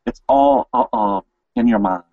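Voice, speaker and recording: A man's voice: mid-range voice, normal speed, slightly rough, no echo, phone-quality sound. A man hosting a casual interview, talking informally and enthusiastically. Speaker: interview host. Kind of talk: casual chat.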